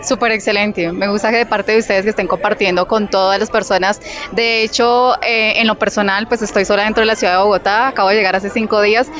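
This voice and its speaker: A woman from Colombia, an audience member, speaking quickly and with emotion. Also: mid-range voice, fairly even intonation, fairly bright tone. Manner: moved, quick. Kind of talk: street vox pop.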